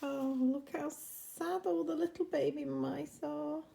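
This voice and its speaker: Slow-paced woman reading a picture book. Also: high voice, creaky, a little hiss or hum. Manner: expressive, chatty asides to listeners. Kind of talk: children's story narration.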